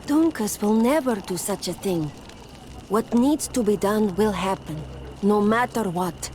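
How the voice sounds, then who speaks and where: Calm, resolute tribeswoman played by a woman. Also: medium pitch, measured pace, close to an everyday voice. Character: calm, resolute native tribeswoman, trusting and fatalistic. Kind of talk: fantasy game dialogue acting. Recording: faint outdoor background noise.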